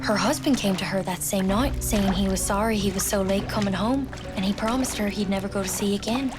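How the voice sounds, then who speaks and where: A woman's voice: mid-range voice, a little fast, over music, close to an everyday voice. A woman playing a grieving Newfoundland wartime nurse. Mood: gentle, resolute. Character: grieving wartime nurse, sister of a fallen soldier. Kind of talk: dramatic game cutscene storytelling.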